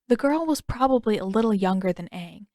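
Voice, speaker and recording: A woman's voice: medium pitch, animated, a little fast, a little mellow, no echo, clean studio sound. A woman reading scripted fiction as an audiobook narrator.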